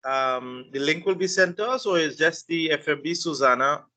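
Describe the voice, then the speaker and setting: A man's voice: a little high, normal speed, pushed and bright. A man from Trinidad with a Trinidadian accent, an engineer earnestly asking a question, repeating himself at times. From Trinidad and Tobago. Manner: repetitive, earnest. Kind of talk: audience question.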